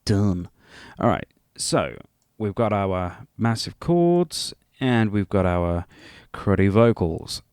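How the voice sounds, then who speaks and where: A man's voice: on the low side, expressive, slow pace, darkish tone, clean tone, no echo, clean studio sound. A man giving an informal music production tutorial in a rambling, chatty and self-deprecating way. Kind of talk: informal software tutorial narration.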